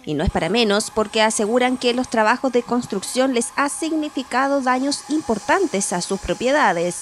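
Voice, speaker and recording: A woman reporter voices a news package in a steady, measured read. Her voice sits fairly high for a woman, with a faint hiss of noise under it. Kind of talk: scripted news voice-over.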